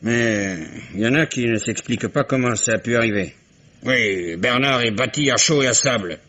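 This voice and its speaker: A sly old village rogue boasts and drops insinuations, played by an actor. The voice is husky and breathy. He talks like an old man who enjoys his own secrets. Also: medium pitch, moderate pace, fairly bright tone, lightly acted. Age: older. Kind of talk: 1950s radio drama acting.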